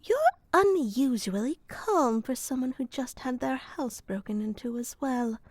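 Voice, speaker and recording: Woman playing a slow, soft-spoken moth girl. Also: sits high, dry recording. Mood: gently deadpan. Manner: soft, slow and deadpan, slips into whispers. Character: earnest young female moth girl sleep helper. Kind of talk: ASMR roleplay.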